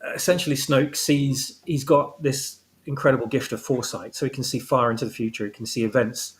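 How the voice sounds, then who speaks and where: A man's voice: medium pitch, steady tone, fairly quick. A man talking casually as an interview guest, explaining his own story and rephrasing as he goes. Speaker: guest, audio drama writer.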